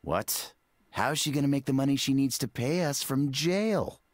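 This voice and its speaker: An actor playing a shady, careless lawman in an animated video game, smug and offhand. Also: mid-range voice, moderate pace, no echo, clean studio sound, close to an everyday voice. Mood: offhand, dismissive.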